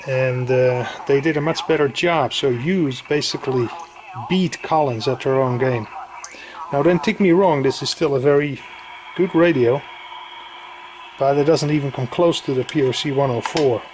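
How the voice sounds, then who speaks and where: A man giving a casual, hands-on equipment demonstration with halting, informal explanations. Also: medium pitch. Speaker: radio enthusiast presenting equipment. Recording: clear background noise.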